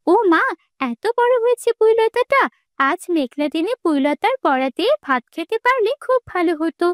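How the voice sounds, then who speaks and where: A woman's voice: extremely high pitch, big pitch swings, moderate pace, no room sound, clean studio sound. A woman voicing kindly village womenfolk in a fairy-tale cartoon. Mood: eager. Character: kindly old village granny and her young girl companion, cartoon women. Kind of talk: cartoon voice acting. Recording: music behind the voice.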